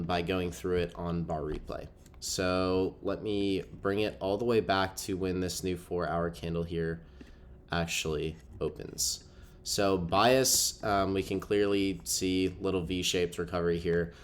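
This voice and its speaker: A young man with a deep, low-pitched voice that sounds congested from a recent cold. He walks through a trading setup in a loose, conversational way, filling pauses with ums and "okay". It sounds like a desk-mic voice-over on a screen recording. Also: fairly even intonation, a little hiss or hum. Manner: casual, filler-heavy explaining with frequent ums. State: stuffy from a cold. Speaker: trading educator. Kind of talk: screen-share trading walkthrough.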